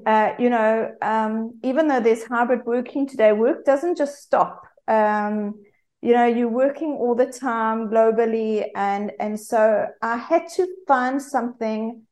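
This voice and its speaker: A woman's voice: fairly high pitch, darkish tone. A woman in her forties with a South African accent, a guest coach telling her personal story candidly with frequent fillers.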